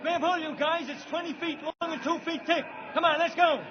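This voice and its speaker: A frantic, shrieking convict. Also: male voice, very high voice, fairly even intonation, normal speed. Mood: rowdy. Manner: shouting, frantic. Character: panicky rioting male convict. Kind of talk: 1940s radio acting. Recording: lo-fi radio with loud crowd and noise.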